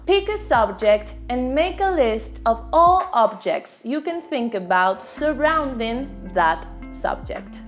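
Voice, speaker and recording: A woman in her twenties with an Argentine accent, a native Spanish speaker teaching a scripted online lesson in an upbeat, clear presenter manner, seated indoors facing the camera. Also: fairly high pitch. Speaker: Spanish tutor. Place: indoor living room with plants and fairy lights. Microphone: seated to camera, medium framing.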